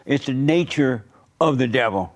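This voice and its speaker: A man hosts an interview and counsels like a preacher. He is blunt and sure of himself, pressing the same point again and again. His pitch is average for a man. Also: expressive, moderate pace. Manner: blunt, insistent, assured, repeats himself. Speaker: talk show host.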